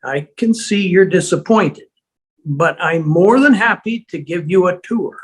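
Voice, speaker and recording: A woman playing a smug, condescending male asylum director with a measured, husky voice in a drama table read. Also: fairly low pitch, lively intonation. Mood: politely dismissive.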